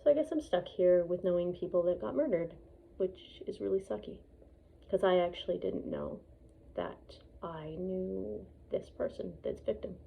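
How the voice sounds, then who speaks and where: Husky, creaky Canadian woman, dull phone recording. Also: on the low side, very animated, normal speed. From Edmonton, Canada. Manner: blunt, opinionated, hesitant phrasing with frequent swearing. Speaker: vlogger, piano student. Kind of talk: casual rambling personal monologue.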